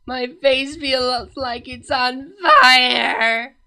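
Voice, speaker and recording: A woman voicing a weary female pony princess who complains slowly and flatly of constant pain. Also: sits high, clean tone, pitched above the performer's own voice, heavily acted. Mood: monotone misery. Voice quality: slow, droning, flat complaining.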